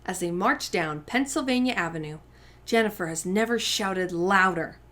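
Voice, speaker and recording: A woman hosting a story time, reading a picture book aloud to young children with deliberate, emphatic phrasing. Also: mid-range voice, fairly bright tone. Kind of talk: expressive children's read-aloud narration.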